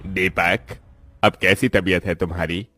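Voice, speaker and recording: Man as cartoon office boss, calculating, inquisitive, deep, flat, faint music behind. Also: unhurried, exaggerated voice. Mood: probing, measured. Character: smooth-talking male office boss, probing and calculating.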